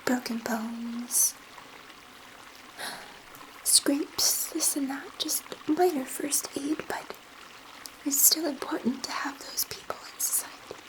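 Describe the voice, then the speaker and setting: A woman voicing a gentle, curious alien scientist in a soft, breathy ASMR roleplay whisper, murmuring reassuringly to one listener. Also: sits high, slow, pauses often, low-quality recording. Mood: explaining gently.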